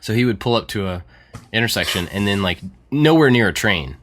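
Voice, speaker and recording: A man with a fairly low voice hosts a casual podcast. He sounds eager and a bit self-mocking, breaking into laughter often as he tells stories and thanks supporters. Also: animated, brisk, a bit bright. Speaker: podcast host. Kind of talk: casual chat.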